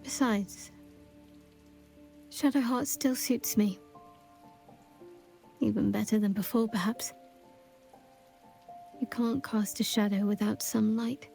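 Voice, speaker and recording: An actress playing a grieving, willful young woman in a video game, speaking in a measured, hushed, halting and reflective way. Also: a little high, fairly even intonation, vocal fry, faint music behind. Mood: wistful, accepting. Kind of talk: cinematic video game voice acting.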